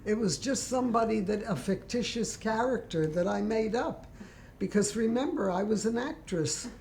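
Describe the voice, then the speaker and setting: A woman's voice: on the high side, animated, moderate pace, a little mellow, a little hiss or hum. An older woman, reminiscing casually in a plainspoken, wry way. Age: older, 70s or above. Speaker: interviewee, longtime mahjong player.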